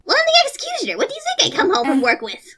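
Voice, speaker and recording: A woman's voice: extremely high pitch, huge pitch swings, racing, music in the background, heavily acted. A woman playing a gleefully menacing, grandiose royal executioner in a cartoon skit, with a pompous, theatrical, mocking delivery. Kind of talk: hobby animated skit voice acting.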